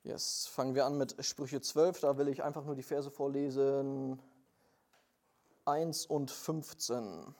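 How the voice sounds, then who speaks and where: Hesitant young preacher with a mellow voice. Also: male voice, medium pitch, fairly even intonation, takes it slowly. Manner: hesitant, many fillers, plain earnest delivery. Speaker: lay preacher and missionary. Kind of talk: informal church sermon with prayer.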